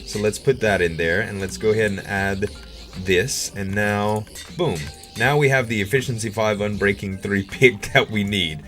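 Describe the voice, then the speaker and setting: Deep-voiced man casually narrating gameplay. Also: steady tone, moderate pace, a bit bright, faint music behind. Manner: rambling, thinking aloud. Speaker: gaming video host.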